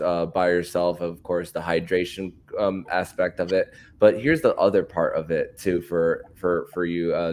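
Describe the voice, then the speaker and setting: A man hosting a sports podcast, asking long-winded interview questions in a halting, repetitive way. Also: low-pitched, darkish tone.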